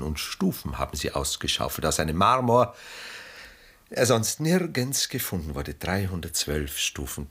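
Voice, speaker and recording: Low-voiced, urbane, ironic gentleman. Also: exaggerated voice. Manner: polished, cultivated, wry, measured. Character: Sala, urbane, ironic, detached gentleman aesthete. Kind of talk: mid-century German radio play acting.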